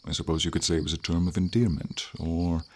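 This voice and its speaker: A man voicing a gentle, wise dragon in a fantasy ASMR roleplay, speaking formally in slow, measured phrases with thoughtful pauses. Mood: calm, explanatory. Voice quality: very deep, gravelly. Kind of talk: ASMR fantasy roleplay, scripted character acting. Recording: steady hiss of rain and insects behind. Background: light rain and night insects.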